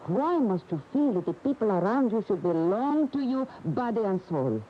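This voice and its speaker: An actress as a proud, exasperated lover, animated. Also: female voice, medium pitch, natural voice. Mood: proud, defiant. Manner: reproachful, emotive, with deliberate pauses. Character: proud, exasperated kept lover of a famous bullfighter. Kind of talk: 1970s-80s radio drama acting. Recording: muffled, hissy radio broadcast tape.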